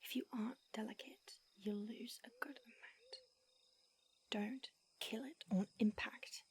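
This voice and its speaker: A woman voicing an ancient female vampire who mentors her fledgling, speaking in a hushed, breathy whisper with hesitant restarts. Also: on the high side, fairly even intonation, unhurried, slight background noise. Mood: hushed, instructive. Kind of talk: audio roleplay.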